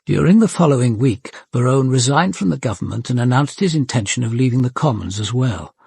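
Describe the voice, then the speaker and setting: A British senior detective, very husky with a gravelly creak. He is composed and authoritative, narrating and giving orders matter-of-factly in a dry radio drama recording. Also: medium pitch, lively intonation, darkish tone, no echo, full character voice. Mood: measured, reflective. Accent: British English. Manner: measured, matter-of-fact.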